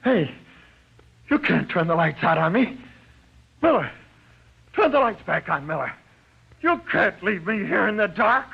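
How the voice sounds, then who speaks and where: A man's voice: high-pitched, sing-song, unhurried, gravelly, long pauses, breathy edge, exaggerated voice. An actor playing a frightened jailed gangster in an old-time radio crime drama, with slangy, ungrammatical tough-guy talk that cracks into pleading, on a muffled old recording. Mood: panicked, pleading. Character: frightened, panicky jailed gangster, afraid of the dark.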